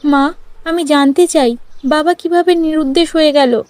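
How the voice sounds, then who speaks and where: A woman's voice: high-pitched, moderate pace, darkish tone, no room sound, pitched above the performer's own voice, natural voice. A woman voicing a determined, loving sixteen-year-old village girl who pleads insistently in a cartoon story. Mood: pleading, determined. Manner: pleading, insistent, repeats words emotionally. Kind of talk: dramatized cartoon story acting. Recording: music under the voice.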